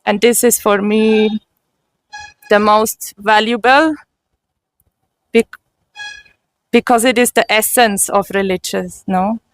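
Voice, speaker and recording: A woman whose first language is German, speaking slowly and earnestly in an interview about her spiritual path, pausing and restarting often. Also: medium pitch, fairly even intonation, no room sound. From Switzerland. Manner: slow and earnest, with frequent pauses and restarts. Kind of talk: personal testimony.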